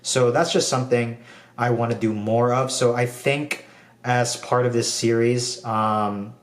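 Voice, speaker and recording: A man's voice: mid-range voice, measured pace, far from the mic. Chatty young man in an echoey room. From Philippines. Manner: relaxed, rambling, frequent um and like fillers. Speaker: video diarist. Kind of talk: casual personal vlog. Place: room at home.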